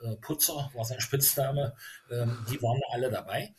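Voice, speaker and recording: A middle-aged man from Berlin recalls his youth in a relaxed interview. He talks at an easy pace and fills his pauses with lots of ums and uhs. His voice sits in a plain middle pitch. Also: slight background noise. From Berlin, Germany. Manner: hesitant, many fillers, rambling storytelling. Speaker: interview guest, former youth footballer. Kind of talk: casual conversational interview.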